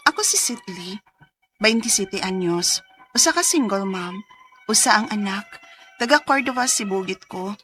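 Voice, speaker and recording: A woman's voice: medium pitch, lively intonation, moderate pace, pushed and bright, faint music behind. A woman playing a young single mother who earnestly tells her story in a radio drama, with a Cebuano accent. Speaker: letter sender narrating her story.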